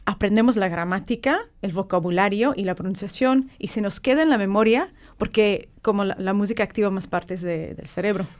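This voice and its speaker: A woman from California who grew up speaking English gives measured, explanatory answers as a guest on a morning radio show. Her voice sits at an ordinary middle pitch, and she pauses on filler words as she builds her points. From California, United States. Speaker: guest, polyglot author. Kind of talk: radio interview.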